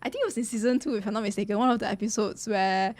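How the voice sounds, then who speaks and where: A woman's voice: mid-range voice, racing, a little mellow. A woman giving casual, gushing reaction commentary as a streamer, chatting to viewers with lots of slang. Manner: gushing, slangy, addresses viewers as guys and bro. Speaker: streamer reacting to a game trailer.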